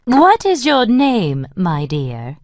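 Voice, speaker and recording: Storybook queen, stern and icy, read by a man: very slow delivery, pitch leaping widely, dry close sound. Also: wildly swooping pitch, no echo, heavily acted. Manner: slow, cold and commanding. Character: stern haughty middle-aged queen, demanding. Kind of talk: storybook reading.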